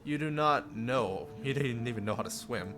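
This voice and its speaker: A man's voice: medium pitch, moderate pace. A man live-streaming a visual novel, reading it aloud theatrically with playful asides. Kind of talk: dramatic read-aloud narration with joking asides. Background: music.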